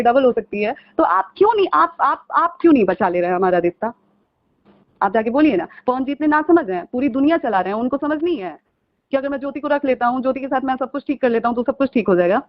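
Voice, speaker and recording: An upset young woman on a phone call, arguing insistently and pleading, often repeating herself, in a heated conversation. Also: high voice. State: upset, aggrieved. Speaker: caller. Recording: muffled lo-fi phone call recording.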